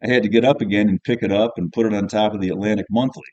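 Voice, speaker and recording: A man's voice: on the low side, steady tone, very fast, darkish tone, no echo, lo-fi sound, close to an everyday voice. A man reading as a jaded, cynical teenage schoolboy, narrating an audiobook in the first person in a colloquial, grumbling, offhand way.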